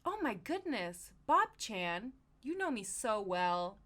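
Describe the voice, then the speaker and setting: A woman's voice: medium pitch, very animated, slow, a bit bright. A woman voicing an eager, cutesy cartoon vegetable in a fan fiction reading, coy and anime-flavored. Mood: delighted.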